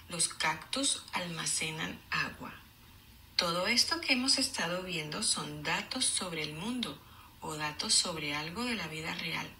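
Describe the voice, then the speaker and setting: Slow, very bright-voiced woman teacher. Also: on the low side, a little hiss or hum. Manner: deliberate, explanatory, enunciating for young learners. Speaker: teacher reading aloud. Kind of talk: children's read-aloud lesson.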